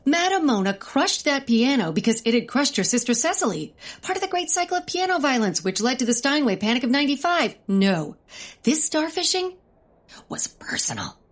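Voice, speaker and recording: Cynical lady scientist sleuth: husky, melodramatic, animated, for comic radio play. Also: sits high, normal speed. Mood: grim, resolute. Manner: grim, melodramatic. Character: intense, cynical, determined female scientist sleuth. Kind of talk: comic audio drama acting.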